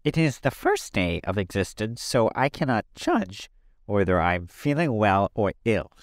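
A man voicing a huge winged male creature with a squeaky, high voice, talking in a formal, wordy, mournful way. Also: wildly swooping pitch, measured pace, dry recording, clean studio sound. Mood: fussy.

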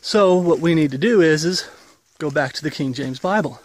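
A man in his forties with a slightly high voice talks into a handheld camera held at arm's length as he walks an outdoor trail. He gives a loose, rambling update in an earnest preaching tone while getting over an illness. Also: moderate pace, no echo. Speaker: ministry leader. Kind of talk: walk-and-talk video update. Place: outdoors on a wooded path.

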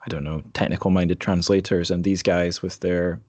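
Male podcast host, lower-pitched, wry and understated, casual interview chat, close dry sound. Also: level tone, moderate pace, a little mellow, no echo.

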